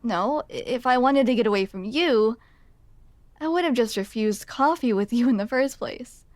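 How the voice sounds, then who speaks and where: High-voiced grumpy, flustered barista woman, acted by a woman. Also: lively intonation, a little mellow, dry recording, close to an everyday voice. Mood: apologetic, wry. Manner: sardonic, self-deprecating, awkward, halting. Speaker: ASMR roleplay performer. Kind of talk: ASMR roleplay acting.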